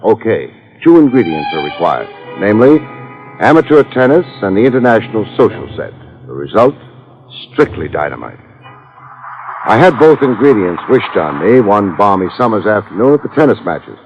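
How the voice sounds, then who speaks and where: A man's voice: fairly low pitch, big pitch swings, moderate pace. An actor playing a wry, hard-boiled male private investigator who narrates in slangy 1950s radio drama style. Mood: wry narration. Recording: dull old radio transcription with music.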